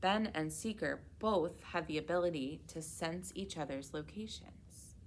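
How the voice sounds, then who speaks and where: A woman reading a children's storybook aloud in an expressive, measured way. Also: sits low, a little hiss or hum. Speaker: children's read-aloud reader at a public library.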